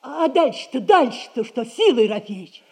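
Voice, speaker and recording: A woman's voice: a little high, sing-song, brisk, a little mellow, husky, exaggerated voice. An actress playing an inquisitive common woman in a theatrical radio comedy, speaking in a coaxing, deferential way. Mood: eager, curious.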